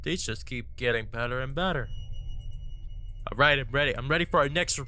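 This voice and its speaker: A man's voice: mid-range voice, brisk, pushed and bright, faint music behind. A man hosting a reaction video, commenting casually with a joking, reactive manner.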